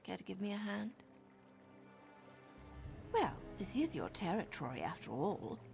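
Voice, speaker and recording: An actress playing a playful, sly, self-assured assassin in a fantasy video game, teasing and flirtatious. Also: female voice, mid-range voice, big pitch swings, measured pace, creaky, music in the background.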